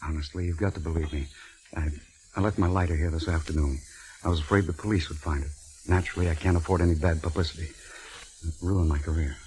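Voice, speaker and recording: A man's voice: low-pitched, fairly even intonation, quick pace, mellow, natural voice, low-quality recording. A man playing a guarded, earnest suspect in an old-time radio murder mystery. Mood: nervous, pleading. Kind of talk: 1940s-50s American radio drama. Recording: old radio recording with faint noise.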